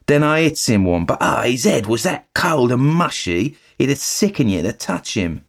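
A man voicing a young Cockney bellboy who talks in rough working-class speech, full of sirs. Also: medium pitch, very animated, normal speed, pitched above the performer's own voice. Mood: chatty. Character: young Cockney bellboy, plain-spoken and earnest.

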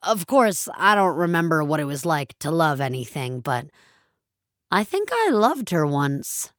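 A woman narrator acts out a portly male cop from an audio story, mild and bemused. He talks slowly and plainly, with a lively rise and fall, in a dry, close sound. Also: low-pitched, dry recording, voice pushed down, exaggerated voice. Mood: wistful. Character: portly male police officer, drugged, amiable, bemused. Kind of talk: character voice in narrated audio fiction.

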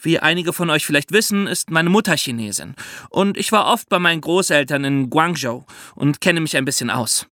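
Bright, higher-pitched man, lively delivery. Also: moderate pace, no room sound, studio quality. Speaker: podcast co-host. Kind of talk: casual scripted podcast chat for young listeners.

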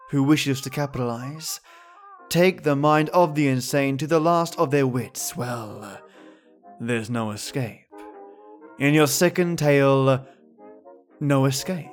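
A male podcast host with a fairly high voice gives a scripted introduction. He speaks slowly, with a theatrical, playful touch. Music plays faintly behind him. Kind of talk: scripted introduction to old-time radio episodes.

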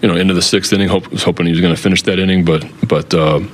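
A man, a baseball manager, answering at a post-game press conference in a halting, understated way. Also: deep voice, fairly even intonation. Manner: halting, understated, hesitant phrasing.

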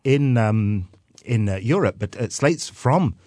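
A man's voice: on the low side, lively intonation, slow pace, a little mellow, slightly rough, no echo. A man from North Wales with a Welsh accent, explaining things as a guest expert in a radio interview, with dry wit.

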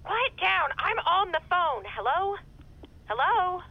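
An actress playing a busy, exasperated but affectionate mother in an audio drama, heard through a telephone filter with brisk, scolding asides. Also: very high voice, big pitch swings, full character voice. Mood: annoyed. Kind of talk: naturalistic audio fiction acting. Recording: band-limited phone line, music behind.

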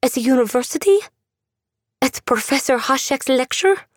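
A woman's voice: sits high, animated, normal speed, no room sound, voice pushed up. A woman doing a frightened young woman. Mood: fearful. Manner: anxious, questioning.

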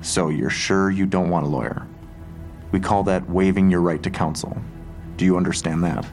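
A deep, gravelly police detective. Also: male voice, fairly even intonation, darkish tone. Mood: procedural. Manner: measured, procedural, questioning. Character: steady, probing male police detective interviewing a witness. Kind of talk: audio drama acting. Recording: music bed behind the voice.

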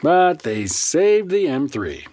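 A man's voice: on the high side, sing-song, slow, darkish tone, dry recording. A man playing a principled, skeptical son in a science fiction audio drama, confrontational yet uneasy and worn down. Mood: uneasy, informative.

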